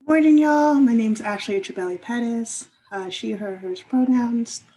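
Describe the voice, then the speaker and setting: A woman's voice: fairly high pitch, unhurried, mellow, rough recording. A woman in her thirties giving a friendly, loose self-introduction on a video call. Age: 30s. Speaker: city youth programs worker.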